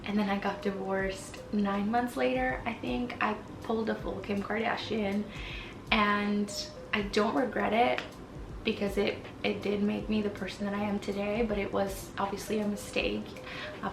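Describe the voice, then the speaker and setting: A woman's voice: mid-range voice, flat delivery, normal speed, gravelly, roomy sound, distant mic, noisy background. A woman in her twenties, born in Mexico with Spanish as her first language, chatting candidly to camera from her apartment, with lots of fillers. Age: 20s. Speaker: vlogger. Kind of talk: casual chat to camera.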